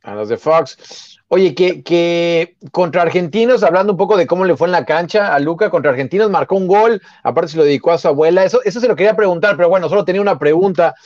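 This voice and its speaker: A man's voice: high-pitched, fast, a little mellow, no echo. A man co-hosting a live sports stream, chatting casually and greeting viewers with many fillers. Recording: dry, dull lo-fi sound.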